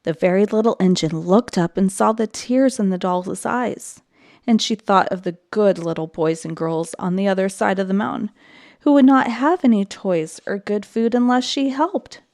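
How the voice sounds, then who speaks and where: A woman narrating a children's audiobook in an expressive storytelling style. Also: medium pitch, normal speed, dry recording, clean studio sound.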